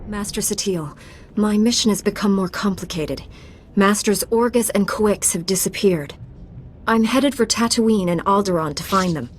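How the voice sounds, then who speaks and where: A woman plays a composed sci-fi knight for a video game. The voice is middle in pitch, formal and earnest, with clear ambience in the background. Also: normal speed, a little hiss or hum, lightly acted. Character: composed, dutiful female Jedi Knight, protective mentor. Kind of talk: video game cutscene acting.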